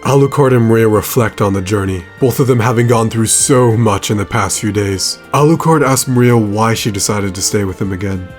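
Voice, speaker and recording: Steady, low-voiced male video essayist. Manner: steady recap storytelling. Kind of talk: scripted narration.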